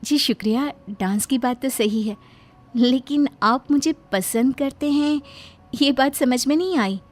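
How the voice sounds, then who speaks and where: A woman's voice: sits high, measured pace. A woman voicing a guarded, world-weary young dancing girl in a cartoon moral story. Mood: puzzled, polite. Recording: background music under the voice.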